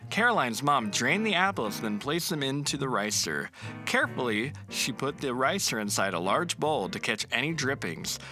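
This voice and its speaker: Guy reading a kids storybook, lively rises and falls, music in the background. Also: medium pitch, moderate pace. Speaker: narrator reading a picture book. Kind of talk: storybook read-aloud.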